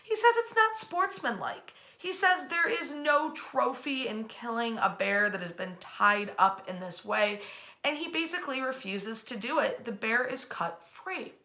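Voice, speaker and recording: A woman hosting an informal history storytime from her bed at home, chatty and animated, using colloquial phrasing as she speaks to an online audience. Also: on the high side, unhurried. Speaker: host of a nightly history storytime.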